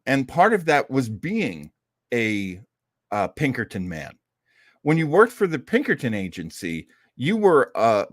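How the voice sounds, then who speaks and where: A man's voice: medium pitch, dry recording, studio quality. A man hosting an old-time radio program, giving casual commentary in a chatty, folksy, opinionated way.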